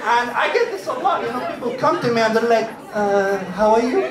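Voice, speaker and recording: Young male comic, high-pitched, conversational storyteller; roomy live venue with loud crowd. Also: lively intonation, a little fast, distant mic, very noisy. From Malaysia, of Egyptian background. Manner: conversational, acting out quoted exchanges. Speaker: stand-up comedian. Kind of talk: live stand-up comedy storytelling. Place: indoor venue before a live audience.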